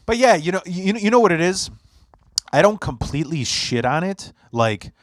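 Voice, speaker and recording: A man's voice: high-pitched, very animated, moderate pace, no echo. A man whose first language is Polish, hosting a podcast in casual chat and telling rambling, profane stories with lots of restarts. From Poland. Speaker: podcast host.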